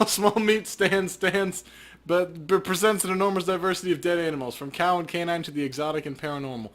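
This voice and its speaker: A man's voice: fairly high pitch, a bit bright. A man co-hosting a game playthrough, mixing joking casual banter with reading narration aloud. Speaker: let's play co-host.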